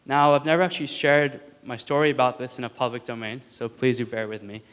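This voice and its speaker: A young man in his twenties speaks to an audience from a stage mic, his stutter catching on words and syllables as he pushes through. His voice is smooth and resonant, and it carries a long hall reverb behind it. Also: mid-range voice, fairly even intonation, fast talker, a bit bright. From India. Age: 20s. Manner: earnest, lightly joking with the audience. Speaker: student speaker. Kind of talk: prepared stage talk. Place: auditorium stage.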